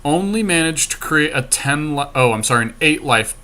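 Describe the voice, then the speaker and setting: A man's voice: fairly high pitch, expressive, normal speed, bright, forceful tone. A man co-hosting a podcast, giving casual play-by-play of a card game with dry, teasing banter. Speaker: podcast co-host.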